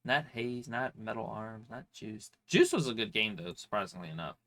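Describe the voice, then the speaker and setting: A man's voice: on the low side, lively intonation, moderate pace, a bit bright. A man with a slight cold and a stuffy, nasal voice, rambling casually with lots of fillers and swearing as a streamer answering viewer questions. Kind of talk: casual chat.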